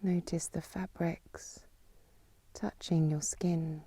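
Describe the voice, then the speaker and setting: A woman yoga nidra teacher giving guided meditation in a soft, breathy, half-whispered voice, calm and soothing, with long pauses between instructions. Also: lowish voice.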